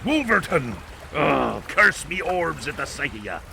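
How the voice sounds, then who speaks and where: A man's voice: a little high, sing-song, a little slow, creaky, pushed and bright, a little hiss or hum, heavily acted. A man playing a roguish, theatrical old pirate captain in a growling pirate dialect. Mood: blustering. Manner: growling pirate bluster, rolling "arr". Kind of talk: audio drama acting.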